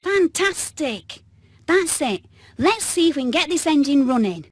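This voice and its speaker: A woman's voice: high voice, sing-song, unhurried, no echo, clean studio sound. A woman voicing a brainy, enthusiastic inventor hen in a cartoon video game.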